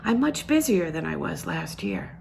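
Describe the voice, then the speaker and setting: A mercurial young woman from a novel, voiced by a woman reader, sounds restless and a little self-conscious. Her pitch rises and falls very freely, over faint noise. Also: huge pitch swings, moderate pace, vocal fry, a little mellow, full character voice. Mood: pleased, hesitant.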